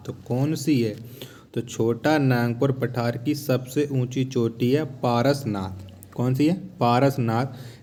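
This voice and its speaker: Mellow-voiced male exam tutor. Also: medium pitch. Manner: instructional, repeats questions and answers for emphasis. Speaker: exam-prep teacher. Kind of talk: quiz lesson, reading questions and giving answers.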